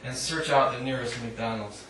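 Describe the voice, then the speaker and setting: A man giving a live poetry reading in a measured, declamatory way with dry humour, adding short spoken asides. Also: mid-range voice, fairly bright tone. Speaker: poet reading own work. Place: indoor literary cafe reading. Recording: echoey live room, distant mic, faint noise.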